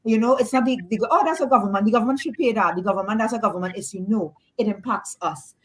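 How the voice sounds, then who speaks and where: A woman's voice: on the high side, fast talker. Trinidadian woman explaining her research. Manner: earnest, explanatory, with restarts. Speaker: guest researcher. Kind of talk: radio interview.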